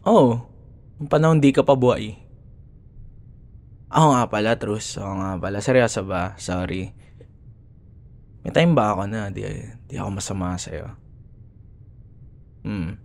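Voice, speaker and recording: A man's voice: fairly low pitch, measured pace, long pauses, a little mellow. A young man with a Filipino accent playing a cocky, teasing, easily annoyed college rival in an ASMR roleplay audio. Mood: softening, earnest. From Philippines. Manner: teasing, sarcastic, exasperated.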